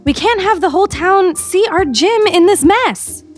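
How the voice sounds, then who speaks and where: A woman's voice: extremely high pitch, animated, measured pace, faint music behind. A woman playing an earnest, pushy teenage student journalist in an audio drama, with an insistent, sassy manner. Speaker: voice actor.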